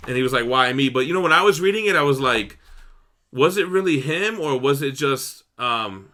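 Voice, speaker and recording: Man, medium pitch, bright forceful voice, casual slangy review chat, animated storytelling. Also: moderate pace. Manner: slangy, swearing, retells the story in excited bursts. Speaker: co-host reviewing a graphic novel. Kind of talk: casual chat, book discussion.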